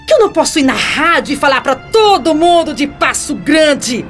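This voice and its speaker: A venomous, domineering schemer with a high Brazilian voice that swoops wildly. She is mocking and emphatic, in radio soap style, over a music bed. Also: very animated, moderate pace. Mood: threatening, taunting.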